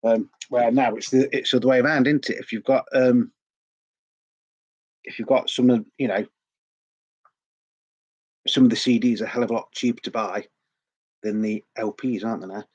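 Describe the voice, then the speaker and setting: A man's voice: medium pitch, fairly even intonation, normal speed, long pauses, husky, lo-fi sound. A middle-aged man with a sore throat, chatting in a rambling, repetitive way as host of a record collecting vlog. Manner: rambling, chatty, repeats words often. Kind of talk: casual vlog chat.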